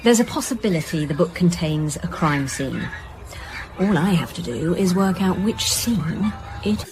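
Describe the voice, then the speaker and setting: A woman's voice: lowish voice, expressive, a little slow, music in the background. An actress playing a wry, thoughtful police detective inspector in naturalistic radio drama dialogue, with faint noise behind her. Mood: wry, curious.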